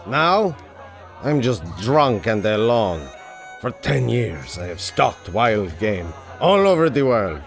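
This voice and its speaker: An actor playing a proud, bitter, drunken big-game hunter in a pulp radio adventure, with a theatrical, blustering manner. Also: male voice, mid-range voice, very animated, slow, vocal fry, faint music behind, full character voice.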